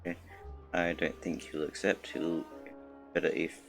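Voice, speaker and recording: A male game streamer with an extremely low, creaky voice. He mutters casual, low-key asides, with faint music in the background. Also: little pitch movement, measured pace, fairly bright tone. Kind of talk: casual livestream commentary.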